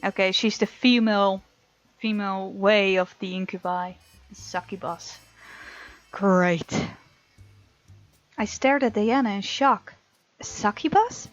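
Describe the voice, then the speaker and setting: A woman hosting a let's play, reading game text aloud dramatically and reacting with animated sass. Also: medium pitch, slow, long pauses. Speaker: gaming channel host. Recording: slightly dull, lo-fi, game music behind. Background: soft game music.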